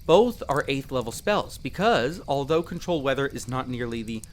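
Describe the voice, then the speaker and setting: Man playing lively, friendly young elf explorer. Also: medium pitch, normal speed, slight background noise, close to an everyday voice. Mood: earnest, explanatory. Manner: chatty, patiently explanatory, playful. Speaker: character in a roleplay audio drama. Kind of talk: in-character conversational roleplay addressed to the listener.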